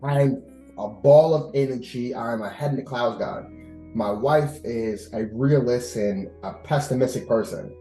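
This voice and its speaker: Guy in his 30s on a podcast, expressive, repeats himself for emphasis, echoey room w faint guitar music. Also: mid-range voice, measured pace. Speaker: podcast guest, air traffic controller. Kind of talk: casual interview conversation. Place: indoors at host's house. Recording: roomy echo, faint music behind.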